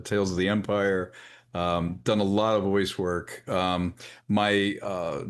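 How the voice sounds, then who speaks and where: A man who works as a voice actor, casually introducing himself on a panel. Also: on the low side.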